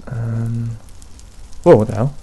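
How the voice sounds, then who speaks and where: A young man casually talking through a video game, mumbling to himself in an unhurried way, his throat bothering him. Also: medium pitch, steady tone, dark tone, breathy edge. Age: young adult. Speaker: gaming channel host. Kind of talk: casual let's play commentary.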